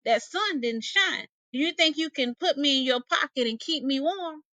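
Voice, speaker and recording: A woman's voice: sits high, expressive, moderate pace, pushed and bright, dry recording, pitched above the performer's own voice. A woman voicing a mean old male snake in a folktale, wheedling and pleading with a sly hiss. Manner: hissing, wheedling, repetitive. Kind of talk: animated folktale storytelling.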